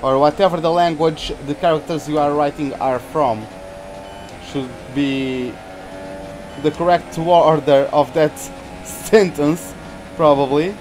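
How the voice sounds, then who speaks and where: A man's voice: on the high side, takes it slowly, darkish tone, faint music behind, rough recording. Portuguese man streaming a racing game. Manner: chatty, laughs often while playing. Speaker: gaming streamer. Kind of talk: live stream gameplay commentary.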